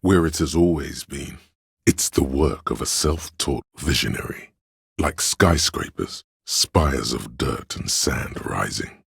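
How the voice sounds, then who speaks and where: A man playing a cerebral, pretentious inner voice of artistic imagination in a game, narrating theatrically and deliberately in a gravelly, husky voice. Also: rumbling low, fairly bright tone, no room sound, studio quality, full character voice. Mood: dry, literary. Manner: pompous, theatrical, deliberate diction. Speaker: game voice actor. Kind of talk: dramatic scripted game narration.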